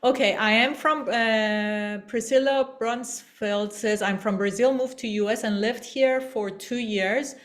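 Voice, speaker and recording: Halting middle-aged woman from Iran, co-hosting. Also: a little high, fairly even intonation. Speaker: webinar co-host relaying participants' comments. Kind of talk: reading out comments and chatting informally.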